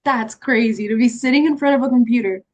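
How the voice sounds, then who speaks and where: Chatty young woman, fairly high voice. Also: fast, darkish tone. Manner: chatty, laughs often, many "like" fillers. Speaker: actress. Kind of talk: casual conversation. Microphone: video call.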